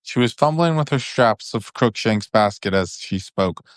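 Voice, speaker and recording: Man, lowish voice, bedtime storybook narration, informal delivery, dry close sound. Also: animated, normal speed, dry recording.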